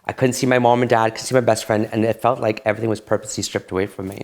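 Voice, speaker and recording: A man's voice: fairly low pitch, steady tone, rapid-fire. A man, telling his personal story in an interview, candid and reflective. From Barbados. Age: late 30s.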